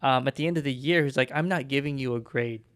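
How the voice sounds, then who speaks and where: A man's voice: medium pitch, quick pace, fairly bright tone. A young man from the Philippines with a Filipino accent, telling reflective stories as a podcast co-host in casual chat. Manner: reflective storytelling.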